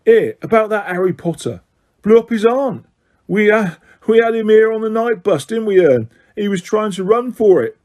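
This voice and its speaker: Man doing a high, gossipy bus conductor for an audiobook; excitable chatter. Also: normal speed. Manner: gossipy, breathless, dramatic.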